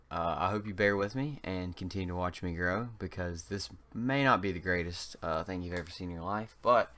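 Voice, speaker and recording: A young man from North Carolina with a slight North Carolina Southern accent, casually commenting on his gameplay in a halting way, full of um and uh fillers. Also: low-pitched, a bit bright. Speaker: gaming channel host.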